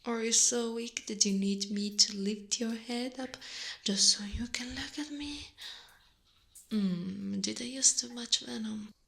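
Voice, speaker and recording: A woman voicing a seductive, possessive spider monster in a breathy, teasing ASMR whisper. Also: on the high side, a bit bright. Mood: possessive, doting. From Italy. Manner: slow, drawn-out, teasing. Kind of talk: ASMR roleplay, mostly whispered.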